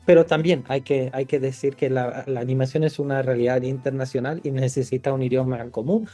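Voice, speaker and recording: Screenwriter guest from Italy, male, relaxed dark timbre, reflective with restarts, faint music bed. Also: mid-range voice, fairly quick. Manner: thoughtful, many restarts and fillers. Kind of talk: podcast interview.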